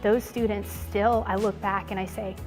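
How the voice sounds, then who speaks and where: Earnest woman teacher, naturally loud voice, soft music underneath. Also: mid-range voice, fairly even intonation, moderate pace. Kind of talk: interview testimony.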